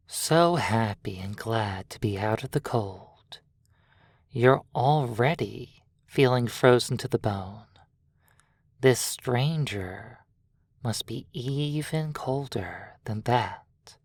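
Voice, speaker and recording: A narrator softly telling a sleep hypnosis roleplay story in a breathy voice, deliberately, with long pauses between phrases. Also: mid-range voice, no echo, studio quality. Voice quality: breathy, soft.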